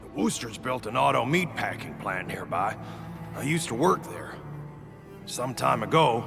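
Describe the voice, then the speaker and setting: An actor playing a weary, anxious male refugee settler in a video game, speaking earnestly and haltingly in rough, folksy phrases. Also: sits low, exaggerated voice. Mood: apologetic, grateful. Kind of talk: dramatic video game voice acting. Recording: long hall reverb, music behind. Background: game music.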